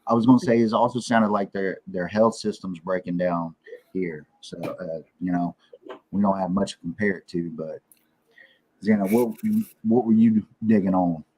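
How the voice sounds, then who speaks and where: An American man with a deep, husky voice takes part in a casual political chat. He is laid-back and blunt, speaking as one of the panel. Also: fairly even intonation, moderate pace, a little mellow. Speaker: political talk show panelist.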